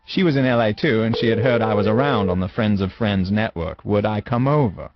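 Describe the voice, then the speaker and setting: Radio play narrator: male actor as reflective English man, low voice, measured and wistful, slightly muffled sound. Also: close to an everyday voice. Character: reflective Englishman recalling his youth in Los Angeles. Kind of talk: dramatised scripted narration. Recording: dull muffled audio under music. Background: clear background music.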